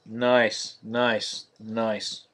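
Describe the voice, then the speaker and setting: A man hosting a live-streamed card break, naming each card in a steady, monotonous voice. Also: fairly low pitch, drawn-out words. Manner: monotonous, steady listing of cards.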